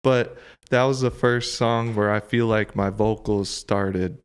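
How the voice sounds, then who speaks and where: Young man, lowish voice, relaxed chat, casual swearing, podcast host. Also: measured pace, smooth.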